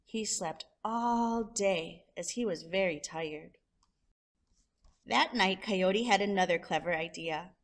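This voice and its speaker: A woman's voice: mid-range voice, slow. A woman storyteller reading a children's picture book aloud expressively, drawing out descriptive words. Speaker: storyteller, show host.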